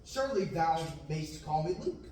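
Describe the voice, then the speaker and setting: A man's voice: a little high, expressive, moderate pace, darkish tone, slight background noise, close to an everyday voice. Yearning young farm boy, read by a man; declamatory verse, distant mic in a roomy hall. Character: restless young farm boy dreaming of adventure. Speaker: table-read actor. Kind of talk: amateur Shakespearean table reading. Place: large classroom, read from a table. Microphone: far room camera. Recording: echoey room, distant mic.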